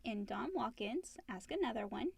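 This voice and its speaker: A woman's voice: on the high side, expressive, moderate pace, creaky, slightly rough, no room sound. A woman co-hosting a casual question-and-answer podcast, reading questions and chiming in with short supportive clarifications. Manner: supportive, brief interjections and clarifications.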